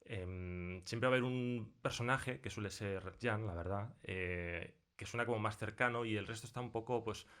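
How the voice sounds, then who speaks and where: A man's voice: fairly low pitch, normal speed, few pauses, fairly bright tone. A man with an accent from Spain, speaking conversationally in an interview as a sound designer, in colloquial language. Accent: Spanish from Spain. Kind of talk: conversational interview, explaining his craft. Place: recording studio.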